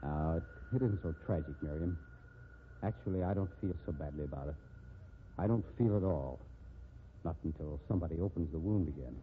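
A man's voice: extremely low pitch, a little fast. An actor playing a bitter, self-pitying ruined man reduced to panhandling, in 1950s radio drama style. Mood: weary, proud. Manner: sardonic, self-pitying, weary. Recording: tinny, noisy 1950s radio recording.

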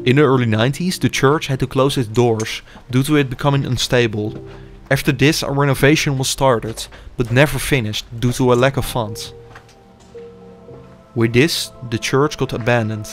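A young man giving measured, scripted documentary narration as an urban explorer. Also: medium pitch, fairly even intonation, faint music behind. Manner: measured, explanatory delivery.

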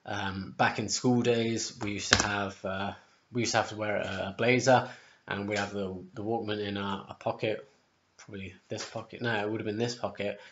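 Hoarse man rambling in his kitchen. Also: on the low side, fairly bright tone. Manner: rambling, chatty, corrects himself. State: sore throat, losing his voice. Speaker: music vlogger. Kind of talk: casual album ranking vlog. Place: home kitchen, indoors. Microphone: webcam at close range. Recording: dull lo-fi webcam audio.

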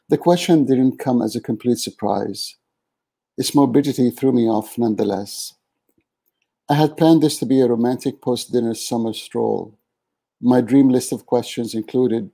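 A man in his fifties, from Yemen, reads from his own memoir in a measured, steady way. His voice is gravelly and husky, with a very dark, mellow tone. He speaks over an earbud headset on a video call from a small room at home. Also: mid-range voice. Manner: measured reading, small restarts. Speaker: author reading from his book. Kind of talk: reading aloud from a memoir.

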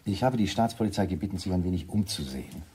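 An actor playing a businesslike, calculating secret service doctor in a radio drama. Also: male voice, low voice, animated, quick pace, dark tone, a little hiss or hum. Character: brisk, smooth-mannered male secret service doctor.

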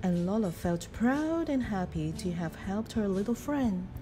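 Slow, expressive woman reading a storybook. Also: mid-range voice, soft background music. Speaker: storybook reader. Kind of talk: children's picture book read-aloud.

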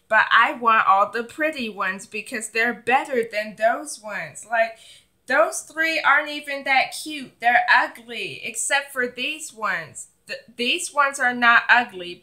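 A woman's voice: on the high side, pushed and bright. A woman voicing a bossy, selfish older sister, a young girl, in a children's doll play skit. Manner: bossy, dismissive.

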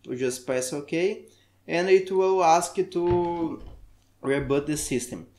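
A man from Brazil with a Brazilian Portuguese accent, a native Portuguese speaker, talking through a software tutorial in halting English and searching for words. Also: a little high, reverberant. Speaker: tech tutorial maker. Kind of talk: screen-recorded software tutorial.

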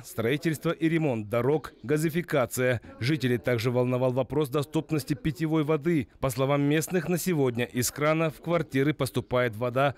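A man reading a formal news report voice-over in a steady manner. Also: medium pitch.

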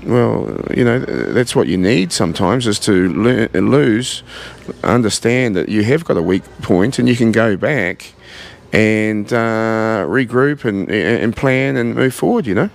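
A man in his forties with a New Zealand accent, talking earnestly and haltingly about his gym in a casual interview, recorded on a handheld mic in a large indoor gym. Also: lowish voice, expressive, creaky. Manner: earnest, halting, many fillers and restarts. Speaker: gym owner.

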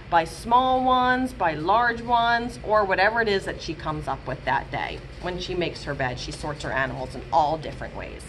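Gentle, lively children's librarian woman. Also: lowish voice, slight background noise, rough recording. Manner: gentle, sing-song and expressive, slowing to a hush for lullabies. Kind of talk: storytime reading and singing for young children. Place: small room with bookshelves, indoors. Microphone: webcam at close range.

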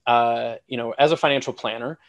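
American man, casual explainer, mid-pitched. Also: steady tone, brisk, highs cut off. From southern United States, North Carolina. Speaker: guest expert, financial planner. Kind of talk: casual explanatory interview talk. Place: indoor room with a whiteboard, on a video call.

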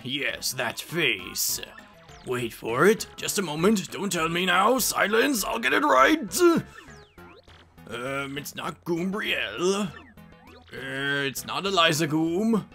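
A man voicing an absent-minded, excitable elderly professor creature in a video game, halting and muttering, then bursting into exclamations. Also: a little high, vocal fry, exaggerated voice.

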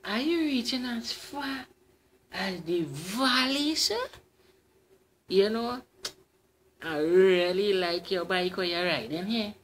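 A man's voice: high voice, lively intonation, takes it slowly, long pauses, fairly bright tone, a little hiss or hum, voice pushed up, heavily acted. A man voicing a seductive female spirit in a red gown. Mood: coaxing. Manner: coaxing, dainty feminine lilt. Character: seductive shape-shifting she-devil in red gown.

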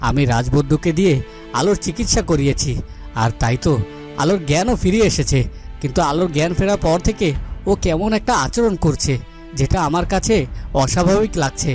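Man playing a concerned, higher-voiced fairy-tale king. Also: expressive, brisk, a little mellow, faint music behind, natural voice. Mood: concerned, coaxing. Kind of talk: cartoon fairy-tale acting.

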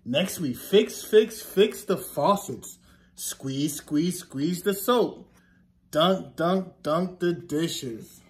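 A man in his thirties reads a picture book to children as their teacher. His voice sits high for a man, and he takes his time. The delivery is deliberate and sing-song, leaning on each repeated word. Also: expressive. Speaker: teacher reading aloud to children. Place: classroom, indoors.

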